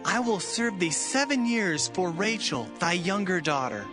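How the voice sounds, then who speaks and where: A man's voice: high-pitched, lively intonation, unhurried, over music. An actor playing an earnest young biblical herdsman in a dramatized scripture reading, speaking in a formal, declamatory way. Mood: devoted.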